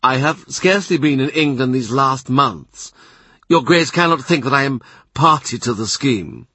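A man voicing a cool, evasive diplomat who speaks in a measured, discreet way, with a husky voice. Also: medium pitch, animated, sharp tone, dry recording. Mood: smooth.

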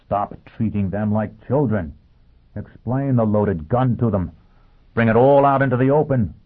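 A man's voice: lowish voice, measured pace. A man voicing a savage, fanatical fugitive in a science fiction audiobook, exhorting in short emphatic bursts. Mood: defiant. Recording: muffled, phone-like narrow band.

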